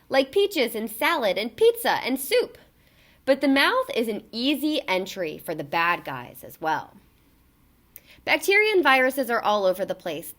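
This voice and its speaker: A woman reading a children's picture book aloud in an expressive, emphatic storytelling delivery. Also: on the high side.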